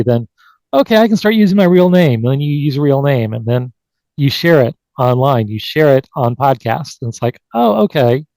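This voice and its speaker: A man's voice: mid-range voice, darkish tone, no room sound. Man hosting an online panel, dry sound. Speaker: podcast host. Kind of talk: live online panel conversation. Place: live video call. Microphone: video call.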